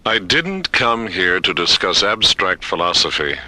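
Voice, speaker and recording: An actor playing a stubborn, combative official, arguing insistently in a science fiction radio drama. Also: male voice, sits low, big pitch swings, a little slow, no room sound, heavily acted. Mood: argumentative. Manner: insistent, argumentative, forceful. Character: stubborn, combative male official hungry for weapons.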